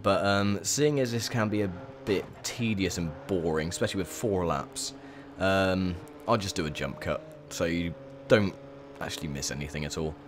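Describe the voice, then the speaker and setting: A young man with a croaky, sore voice, chatting casually through gameplay commentary with lots of ums. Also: deep voice, normal speed, few pauses, noisy background. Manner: chatty, rambling with many ums. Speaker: gaming video host.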